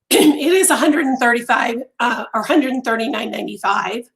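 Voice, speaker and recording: A woman hosting a casual crochet live stream from home, chatty with many ums and pauses, her voice going hoarse and interrupted by throat clearing. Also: a little high, fairly bright tone. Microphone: phone on a mount.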